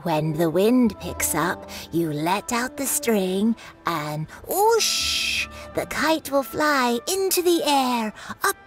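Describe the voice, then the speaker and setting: Sweet old granny shopkeeper from a game, really slow n drawn out, lilting, helpful. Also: female voice, on the high side, sing-song, faint music behind. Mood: warm, playful. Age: older. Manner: gentle, drawn-out, grandmotherly. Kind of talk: video game voice acting.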